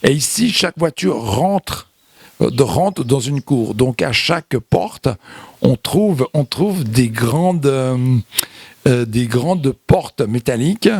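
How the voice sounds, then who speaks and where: A man from Europe giving unscripted interview answers as a guest, haltingly, often repeating words and phrases. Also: mid-range voice, lively intonation, creaky, a little mellow, slightly rough, dry recording. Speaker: interview guest, visitor to Burundi.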